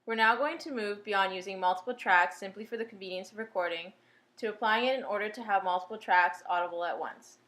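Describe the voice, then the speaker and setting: A woman's voice: mid-range voice, brisk, fairly bright tone. A woman reading scripted tutorial narration in a formal, explanatory way. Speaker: tutorial presenter. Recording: echoey room sound.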